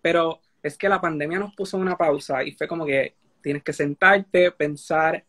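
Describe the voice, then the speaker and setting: A man in his twenties with a Puerto Rican accent, joining a livestream over a phone video call, chatting in a warm, quick way. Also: fairly high pitch, steady tone.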